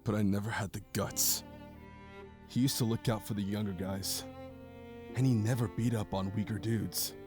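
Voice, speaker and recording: A man playing a hot-headed but loyal high school boy, blunt and excitable, in an audio drama. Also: normal speed, music in the background, natural voice. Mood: reflective.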